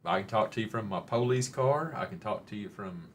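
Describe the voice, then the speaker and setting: Man, middle-aged, live-stream host, rambling, joking, slightly low voice. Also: lively intonation, brisk, a little hiss or hum. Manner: rambling, joking, mildly profane. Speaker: livestream host. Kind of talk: casual live-stream chat.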